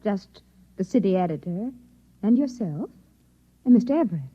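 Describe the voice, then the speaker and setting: A woman playing a composed, secretive Martian posing as a wife in an old-time radio drama, poised and deliberate. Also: mid-range voice, animated, mellow, slightly rough, muffled recording. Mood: cool, composed.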